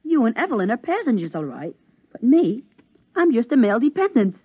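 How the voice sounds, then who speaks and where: A woman's voice: a little high, sing-song, brisk, slightly rough, no room sound. A woman playing a restless, cheeky young boy with a sulky, eager manner in a 1950s radio drama. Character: restless young boy, cheeky, grumbling about his sisters. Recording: dull vintage 1950s radio recording.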